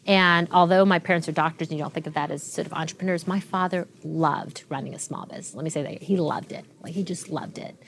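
A woman in her forties, a tech executive being interviewed, telling stories fluently and with lively restarts. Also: sits low, fast talker, gravelly, few pauses, slight background noise. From Canada. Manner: fluent, animated storytelling with frequent restarts. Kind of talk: interview conversation.

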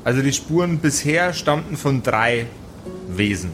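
A man narrating an improvised tabletop adventure as game master, with deliberate phrasing and dramatic pauses. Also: mid-range voice, very animated, fairly bright tone, soft background music.

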